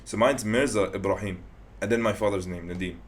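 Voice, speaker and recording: A young man hosts a relaxed podcast conversation in a lowish voice. He is easygoing and keeps the talk moving with quick, short agreements. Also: a little hiss or hum. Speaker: podcast host. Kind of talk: casual chat.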